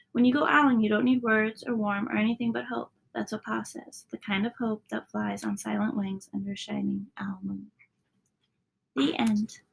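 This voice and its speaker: A woman narrates a children's picture book in a fairly high voice that is heavily creaky and husky. Her delivery is that of a storyteller, with soft, hushed line endings. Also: low-quality recording. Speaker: storybook reader.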